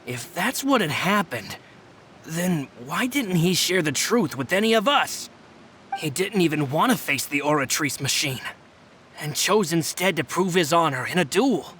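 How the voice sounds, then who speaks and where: An actor playing a loyal, earnest subordinate who speaks deferentially and deliberately in a video game cutscene. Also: male voice, fairly high pitch, animated, fairly bright tone, a little hiss or hum. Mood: serious, questioning. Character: loyal male subordinate bodyguard, earnest and measured.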